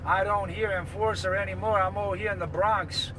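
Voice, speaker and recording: A man's voice: high-pitched, fairly even intonation, fairly quick. A man talking slangy, repetitive call-outs on a CB radio from a vehicle. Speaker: CB radio operator. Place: in a vehicle on the road. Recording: noisy, with vehicle and outdoor traffic sounds.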